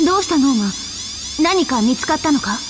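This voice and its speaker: A woman's voice: high-pitched, expressive, quick pace. An actress voicing an earnest, serious young female swordfighter with blunt, formal speech in a Japanese video game. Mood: concerned. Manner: blunt, formal, boyish wording. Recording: faint game music behind.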